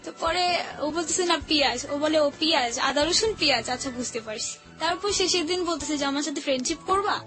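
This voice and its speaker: A woman's voice: sits high, a little fast. A young woman with a Dhaka Bangladeshi accent, telling a personal story informally and quoting remembered conversations. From Old Dhaka, Bangladesh. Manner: colloquial, acts out remembered conversations. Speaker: guest telling her life story. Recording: music playing under the voice.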